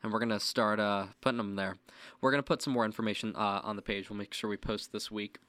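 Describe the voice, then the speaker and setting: A man's voice: fairly low pitch, steady tone, quick pace, a bit bright, no echo. A young man co-hosting a podcast who sounds slightly nasal from a sore throat and chats in an easygoing, promotional way. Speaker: podcast co-host. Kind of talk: casual chat.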